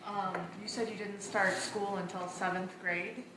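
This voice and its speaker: A woman's voice: mid-range voice, little pitch movement, measured pace. A woman in the audience asking curious, slightly hesitant questions. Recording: echoey room with noise.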